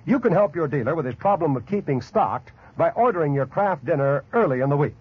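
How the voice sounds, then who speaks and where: An American man reading a 1940s radio advertisement as a commercial announcer, with a husky voice. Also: fairly high pitch, animated, brisk. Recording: dull vintage 1940s radio recording.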